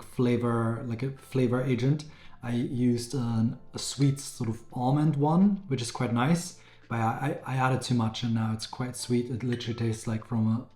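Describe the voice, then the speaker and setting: A man with a raspy, slightly hoarse voice, chatting casually to camera as a beauty vlogger, rambling and correcting himself as he goes. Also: mid-range voice, a little mellow. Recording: roomy echo, faint music.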